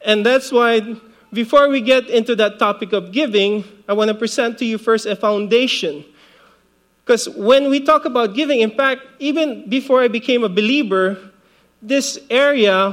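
A man's voice: sits high, moderate pace, fairly bright tone. A man in his forties from the Philippines, preaching a sermon in a conversational way with light asides and laughs. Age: 40s. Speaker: pastor.

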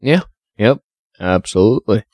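A man co-hosting a casual podcast, halting and profane, full of fillers. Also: on the low side, expressive, darkish tone, no echo, studio quality. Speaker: podcast co-host.

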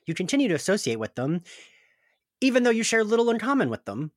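A woman's voice: mid-range voice, very animated, fast, no room sound. A woman acts out a needy young ex-demon with no tact. The intonation leaps around while the words come out blunt and literal. It is a comedy script read, recorded very dry. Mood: insistent. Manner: blunt, insistent.

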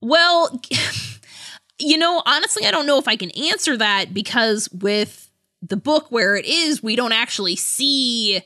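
A woman's voice: fairly high pitch, animated, a little slow, sharp tone, no echo, clean studio sound. A woman co-hosting a podcast, talking through a book casually in a thoughtful, hesitant way. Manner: hesitant, thoughtful, hedging delivery. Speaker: podcast co-host.

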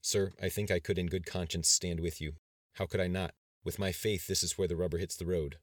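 A man's voice: sits low, fairly even intonation, brisk, no room sound, studio quality, lightly acted. A man reading the part of a devout, proud male general in an audiobook, earnest and firm. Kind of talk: dramatized audiobook dialogue.

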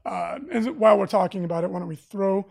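Raspy, gravelly-voiced man streaming. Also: high-pitched, fairly even intonation, fast. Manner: enthusiastic, rambling with many fillers. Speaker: livestream host for a game store. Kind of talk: casual live stream chat.

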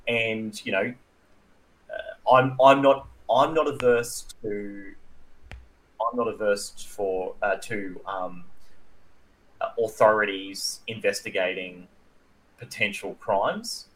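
An Australian man with a slightly low voice chats casually as a podcast co-host. His delivery is halting and careful, restarting as he works out how to phrase things. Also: fairly bright tone, low-quality recording. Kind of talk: casual conversational discussion.

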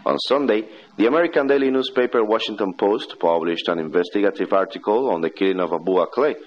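A man reads scripted radio news in a formal, measured manner. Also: on the low side, expressive. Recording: dull, lo-fi shortwave radio broadcast.

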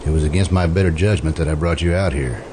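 A man playing a stern, impatient lawman with a gruff, scolding manner in an old-time radio suspense play. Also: very deep voice, fast, background noise. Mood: stern, reproachful.

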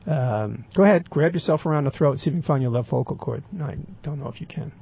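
An older man with a raspy voice, telling a rambling personal story with dry, wry humor and many fillers. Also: mid-range voice, fast talker, dull audio. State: throat occasionally closing up.

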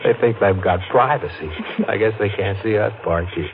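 An actor playing an earnest young newlywed husband, an ordinary clerk, in exclamatory 1940s radio drama style on an old recording. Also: male voice, fairly low pitch, normal speed, phone-quality sound, noisy background, close to an everyday voice. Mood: tender, casual.

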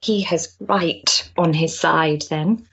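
A woman's voice: low-pitched, slow, creaky, fairly bright tone, slightly rough, highs cut off, exaggerated voice. An actress playing an earnest, impulsive, lonely Victorian woman in a period stage drama, with a hesitant, emotional manner. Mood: concerned, puzzled.

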